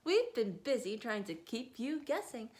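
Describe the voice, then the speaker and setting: An animated old grandmother, voiced by a woman reading aloud. She talks in a raised, lively pitch, and her voice has an unusual color. The delivery is storybook cheerful. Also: measured pace. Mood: delighted. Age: older. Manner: exclaiming, animated. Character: cheerful older grandmother, delighted.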